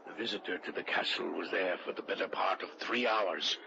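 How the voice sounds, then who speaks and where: A muffled, very noisy vintage radio suspense play. A low-voiced storyteller solemnly recounts a dark legend. His phrasing is formal, deliberate and ominous. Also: creaky. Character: Marek, grave male village storyteller recounting a dark legend. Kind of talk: 1940s-50s radio suspense drama narration.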